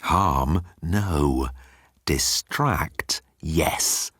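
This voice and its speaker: A man's voice: very deep voice, very slow, fairly bright tone, slightly rough, no room sound, clean studio sound, exaggerated voice. A man voicing a pompous, menacing old alien commander who croons with sardonic deliberation in a sci-fi audiobook. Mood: crafty, smug. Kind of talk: audiobook character acting.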